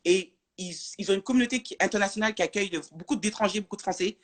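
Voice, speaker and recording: A woman's voice: mid-range voice, racing. A woman from France, talking as a guest in a casual live interview, hesitant and full of fillers and restarts.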